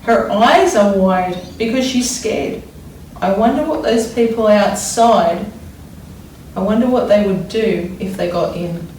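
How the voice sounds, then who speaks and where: A woman reads aloud to young children like a primary school teacher, with dramatic emphasis and thoughtful explanations. Her pitch is average for a woman. The sound is distant and strongly echoey, with faint noise behind her. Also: a little mellow. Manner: deliberate, wondering aloud, dramatic emphasis when reading. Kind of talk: expressive children's read-aloud with think-aloud explanations.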